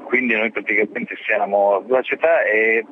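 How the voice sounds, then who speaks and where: Male field journalist, lowish and halting, improvised radio report over a tinny, noisy telephone-like line. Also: fairly even intonation. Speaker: journalist reporting on a war zone. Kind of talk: spontaneous spoken radio report. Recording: narrow phone-like line, background noise.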